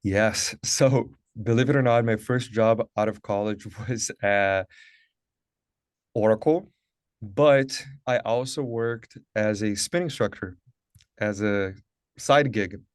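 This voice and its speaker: A man's voice: on the low side, slow, no echo, clean studio sound. A man from Brazil in his thirties, a Portuguese native speaker, talking about his career as a guest on a video call, upbeat and earnest with lots of "like" and "uh". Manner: upbeat, earnest, many fillers like "like" and "uh". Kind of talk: conversational interview, webinar.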